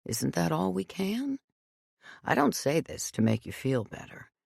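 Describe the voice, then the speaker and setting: A woman reading voices a gentle, kindly elderly man who speaks softly, calmly and patiently. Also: on the low side, a little slow, creaky, breathy edge, no room sound, clean studio sound, voice pushed up, full character voice.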